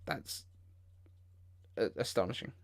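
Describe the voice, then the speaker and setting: A man hosting a casual livestream, reacting in an informal, incredulous way as he comments. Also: takes it slowly. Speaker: livestream host.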